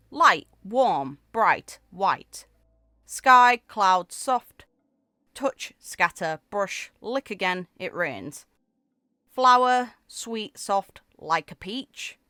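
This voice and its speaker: A woman's voice: fairly low pitch, sharp tone, clear, resonant tone, dry recording. A woman gaming creator reading story text aloud expressively, with casual commentary. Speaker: gaming video creator reading game character lore aloud. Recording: music playing under the voice. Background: background music.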